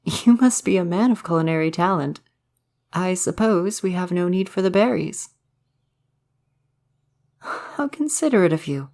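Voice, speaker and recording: A man acts out a male forest spirit in a soft romantic ASMR audio drama. He is gentle and curious about humans. His phrasing is formal, polite and old-fashioned, like that of a courtly woodland being. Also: sits high, animated, a little slow, pauses often. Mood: warm, polite.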